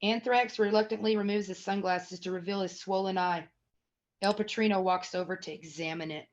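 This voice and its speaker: A woman's voice: mid-range voice, fairly even intonation, moderate pace. Woman narrator, slightly dull recording. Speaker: narrator reading stage directions. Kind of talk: scripted screenplay narration.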